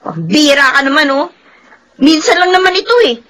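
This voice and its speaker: A woman's voice: sing-song, fairly quick, a bit bright. An actress in a radio play voicing a materialistic young wife who scolds and mocks her husband. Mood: scornful. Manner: shrill, scolding. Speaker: voice actor. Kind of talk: radio drama acting.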